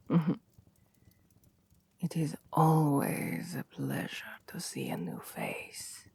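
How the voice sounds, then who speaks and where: A woman's voice: fairly low pitch, no room sound, heavily acted. A woman voicing a seductive, domineering vampire queen in an intimate ASMR roleplay, haughty and possessive, with a languid, commanding purr that often drops toward a whisper. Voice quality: breathy, often dropping to whispers. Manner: languid, condescending, commanding purr with soft chuckles.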